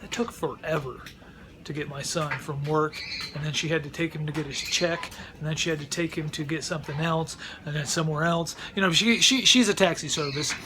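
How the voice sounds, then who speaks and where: Rambling middle-aged man, slightly high voice. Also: fairly even intonation, brisk, noisy background. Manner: rambling, repeats words and restarts often. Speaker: vlogger. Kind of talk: casual vlog chat. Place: at home. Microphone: close mic.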